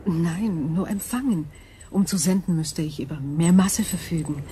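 An actress playing an ancient, calm and probing crystal being in a radio drama, speaking in a measured, formal, breathy way. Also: female voice, on the low side, a little hiss or hum, natural voice. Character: ancient female super-crystal intelligence, calm, probing, wary.

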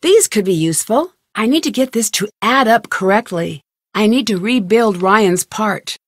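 An actress voices a young woman detective in an adventure game, quick-witted and determined. She talks to herself inquisitively as she puzzles things out, in a medium-pitched voice. The sound is dry, with no room to it. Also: animated, no room sound, close to an everyday voice. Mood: musing, focused. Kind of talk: scripted video game voice lines, thinking aloud.

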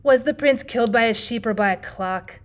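A woman's voice: fairly high pitch, normal speed, close to an everyday voice. A woman voicing a curious, blunt small child in a storybook reading, eager and questioning.

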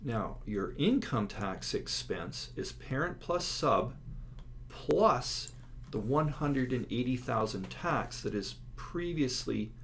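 A man's voice: medium pitch, takes it slowly. A man giving an instructional lecture, explaining slides step by step and stressing key words. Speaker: accounting instructor. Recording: clear steady background noise.

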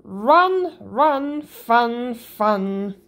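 A woman reads a children's picture book aloud at a very unhurried pace. Her voice sits a little high, and she leaps upward on the playful sound words in a bouncy sing-song. She is recorded indoors at a table. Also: expressive, fairly bright tone. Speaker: storybook reader.

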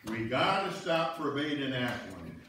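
A man's voice: mid-range voice, sing-song, a little slow, bright, forceful tone, slight background noise. Man as hearty eager fisherman, echoey hall. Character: Murph, eager, easygoing beer-loving ice fisherman. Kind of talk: amateur reader's theater, read from script. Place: on stage in a church, audience seated. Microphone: far camera. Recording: echoey church hall, distant camera.

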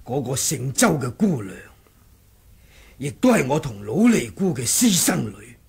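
An actor playing a cunning, composed martial monk in a 1980s radio drama, husky and deliberate in his sly bargaining. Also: medium pitch, sing-song, darkish tone, heavily acted. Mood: earnest bargaining. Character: cunning martial monk who feigned muteness, scheming and composed.

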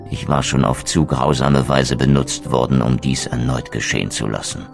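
Ein Schauspieler spricht einen bitteren, stolzen untoten Krieger in einem Videospiel, feierlich und wortgewandt. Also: male voice, extremely low pitch, flat delivery, normal speed, vocal fry, music in the background. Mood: brooding. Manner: solemn, eloquent, theatrical. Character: bitter, proud undead wraith warrior, male. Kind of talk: dramatic video game narration and dialogue.

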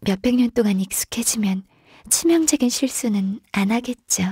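A woman playing a gentle, shy, earnest half-qilin secretary in a video game, speaking softly and politely with some hesitation. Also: fairly high pitch, moderate pace, dry recording, studio quality. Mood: calm, reflective. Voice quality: soft, breathy. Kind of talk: video game character voice lines.

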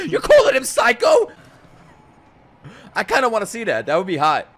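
A young man streaming his reaction to an anime at home, cracking crude jokes and breaking into sudden loud outbursts. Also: very high-pitched, huge pitch swings, normal speed. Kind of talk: live reaction commentary. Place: home streaming room with gaming chair. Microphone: studio mic on boom arm, headphones on. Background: anime soundtrack playing faintly.

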